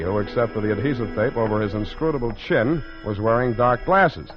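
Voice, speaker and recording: An actor playing a tough, wisecracking American private detective in sardonic 1940s radio style. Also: male voice, medium pitch, natural voice. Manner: sardonic, clipped, flowery narration. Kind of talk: 1940s radio acting and narration. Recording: muffled old radio recording.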